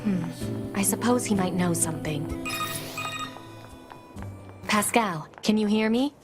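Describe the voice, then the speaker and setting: A woman's voice: mid-range voice, slow pace, music in the background, lightly acted. Terse, stoic female android soldier played by a woman. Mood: dry, guarded. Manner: terse, reserved, deadpan. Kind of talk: video game cutscene acting.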